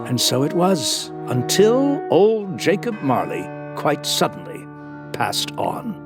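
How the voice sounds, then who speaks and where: Lively, genial Christmas storyteller. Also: male voice, on the high side, takes it slowly, over music, heavily acted. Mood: confiding. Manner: confiding, dramatic. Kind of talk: theatrical storytelling narration.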